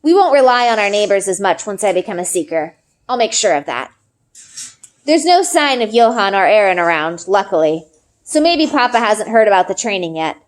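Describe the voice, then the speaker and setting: A woman narrator giving an expressive read-aloud of a children's novel in the first person. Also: mid-range voice, moderate pace.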